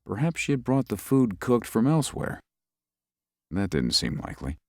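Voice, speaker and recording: An actor playing a young lawyer who narrates a ghost story in a measured way. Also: male voice, on the low side, sing-song, dry recording, studio quality. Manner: measured, tells the story with rising unease. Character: young male lawyer recuperating in the country. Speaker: narrator and lead.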